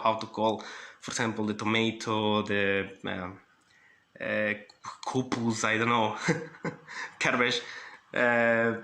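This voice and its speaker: A Mexican man, a native Spanish speaker, telling a casual vlog story in halting English with many fillers and laughs. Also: mid-range voice, slight background noise. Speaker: vlogger. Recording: roomy echo with loud background music.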